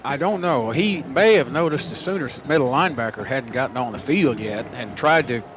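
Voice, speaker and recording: An American man giving conversational, unhurried color analysis on a live football radio broadcast. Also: medium pitch, lively intonation. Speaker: color commentator. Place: stadium radio booth. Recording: dull, noisy stadium radio broadcast.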